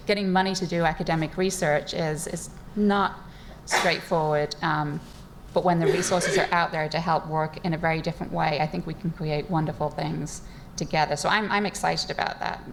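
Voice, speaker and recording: A woman's voice: low-pitched, a little hiss or hum. A woman scientist speaking on a panel at a live event, enthusiastic and chatty, laughing easily as she tells stories from her work. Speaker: neuroscientist panelist. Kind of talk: panel discussion talk. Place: event space with live audience.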